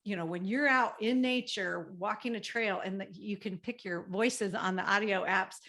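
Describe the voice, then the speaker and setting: A woman podcast host talks with eager energy, backing up her guest and sharing her own habits. Her voice sits at a middle pitch for a woman. She chats in a loose, casual way with fillers. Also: moderate pace, sharp tone. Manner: eager, affirming, chimes in with agreement. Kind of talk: conversational podcast interview.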